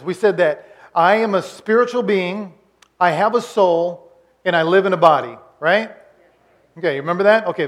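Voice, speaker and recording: A man in his forties preaching a conversational sermon as pastor, often restarting and repeating words. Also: sits high. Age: 40s. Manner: conversational, repeats words, puts on quoted voices. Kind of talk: sermon recap.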